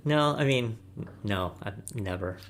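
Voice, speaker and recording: A man with a fairly low, very creaky voice chats as a podcast panelist. His style is informal and conversational, with heavy gravel in the tone. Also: animated, measured pace. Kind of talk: casual roundtable chat.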